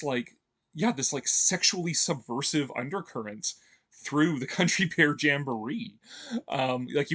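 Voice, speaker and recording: Male video host, mid pitch, loose unscripted delivery full of fillers and restarts. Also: fairly bright tone. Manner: rambling, heavy on fillers and restarts; chuckles often. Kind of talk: casual enthusiastic commentary.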